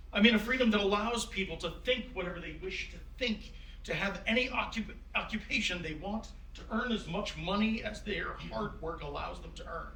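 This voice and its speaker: Man acting as genial wise founding father, courtly measured phrasing, high bright voice, staged reading, distant roomy recording. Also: slight background noise. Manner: courtly, old-fashioned phrasing, measured and avuncular. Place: room at a live staged reading.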